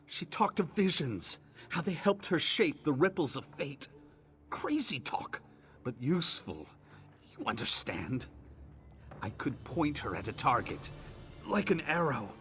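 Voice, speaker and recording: A man's voice: fairly high pitch, very animated, a little slow, fairly bright tone, soft background music. A man voicing a dying male merchant who is bitter and scheming, speaking in an accusing way, as AI-voiced game dialogue.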